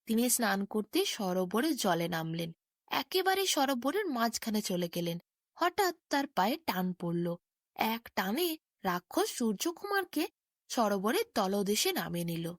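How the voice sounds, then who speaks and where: A woman's voice: mid-range voice, sing-song, measured pace, dry recording, clean studio sound. A woman narrating a bedtime fairy tale as a storyteller.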